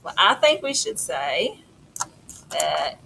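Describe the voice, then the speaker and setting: A woman's voice: sits low, sing-song, slow, sharp tone, low-quality recording. A middle-aged woman co-teacher talking through a lesson in an informal, explanatory, conversational manner. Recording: clear background noise.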